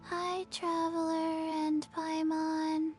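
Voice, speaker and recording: A woman voicing a sleepy, childlike undead little girl in a video game, deadpan and halting. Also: very high-pitched, exaggerated voice. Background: game music behind the voice.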